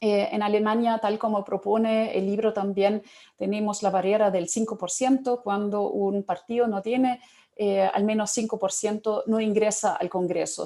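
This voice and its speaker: A woman from Germany speaking with a German accent, giving a thoughtful academic panel talk with many pauses and fillers. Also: mid-range voice, dark tone.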